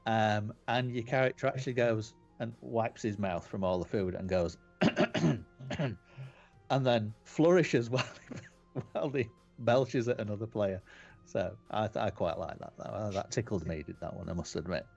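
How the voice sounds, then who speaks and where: Rambling Yorkshire man, low voice. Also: moderate pace, vocal fry, a little mellow, lo-fi sound, soft background music. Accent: Yorkshire English. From West Yorkshire, England. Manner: chatty, rambling, with fillers and repeats. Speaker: podcast host. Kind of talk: casual chat on a game webcast. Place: home room lit red.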